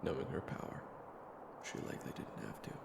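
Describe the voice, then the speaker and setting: A man's voice: fairly quick, reverberant, far from the mic, crowd noise. A man reading scripted third-person narration as the narrator of an audio drama.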